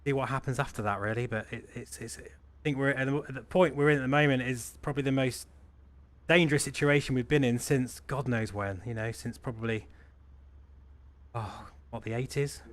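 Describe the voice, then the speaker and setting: A man's voice: medium pitch, fairly even intonation, fairly quick. A man hosting a live group flight stream, chatty and self-deprecating, laughing often. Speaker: stream host. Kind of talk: casual live-stream chat.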